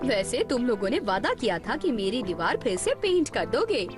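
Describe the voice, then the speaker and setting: A woman voicing an expressive, doting mother in a dubbed cartoon, gushing and motherly. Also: on the high side, moderate pace, music in the background. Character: doting, effusive mother, a friendly neighbourhood aunty.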